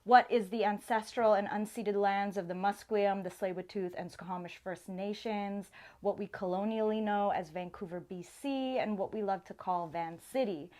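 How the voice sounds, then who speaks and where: A woman's voice: medium pitch, moderate pace, darkish tone. A woman hosting an interview show in a casual, conversational style, informal and effusive as she speaks.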